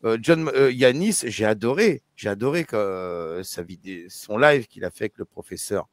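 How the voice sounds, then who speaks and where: A man's voice: lowish voice, expressive, moderate pace, no echo. A man hosting a casual live discussion, talking with many hesitations and restarts. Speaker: YouTube live host.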